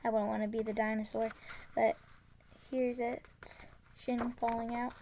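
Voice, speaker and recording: A woman reading a children's tongue-twister book aloud, enunciating carefully and deliberately. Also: a little high, level tone, warm tone, slight background noise. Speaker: storybook reader.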